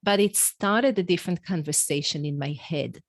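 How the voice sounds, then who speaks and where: A woman's voice: on the low side, moderate pace, dry recording, clean studio sound. A middle-aged woman with an Israeli accent and Hebrew as her first language, telling her own story in a reflective, candid interview style. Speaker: podcast guest, executive coach and author. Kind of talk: conversational interview, personal storytelling.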